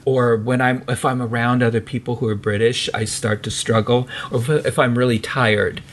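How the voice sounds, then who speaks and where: A man's voice: mid-range voice, fairly even intonation, moderate pace, a little hiss or hum. American guy cohosting a podcast, chatty, keeps restarting his sentences. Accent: General American. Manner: chatty, with frequent restarts and fillers. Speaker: podcast co-host. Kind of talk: casual podcast chat.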